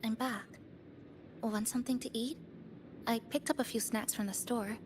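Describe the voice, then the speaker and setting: A woman playing a calm, thoughtful young heroine in a video game, speaking gently and in measured phrases. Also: on the high side, slight background noise, natural voice. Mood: gentle, friendly. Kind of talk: game character acting. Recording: background music over the voice.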